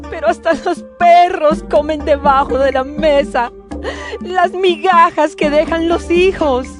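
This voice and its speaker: High-voiced humble pleading mother. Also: measured pace, over music. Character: humble pleading foreign mother begging for help. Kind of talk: dramatized scripture audio drama.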